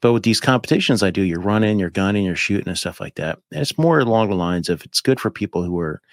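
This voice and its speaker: A man in his fifties with a fairly low voice, talking as a podcast guest. He rambles, jumps between thoughts and fills gaps with "like" and "you know." The sound is dry and close, with little room behind it. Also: quick pace, no echo. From United States. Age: 50s. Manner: rambling, animated, with many fillers. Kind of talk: casual chat.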